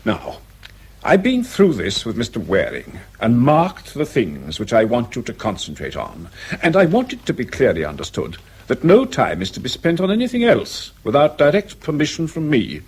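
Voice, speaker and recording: A pompous British male director lays down the law in a radio drama. He is officious and condescending, with hugely varied intonation and a husky edge. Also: medium pitch, huge pitch swings, moderate pace, darkish tone, exaggerated voice. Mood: officious, curt. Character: pompous, overbearing new male director of research section.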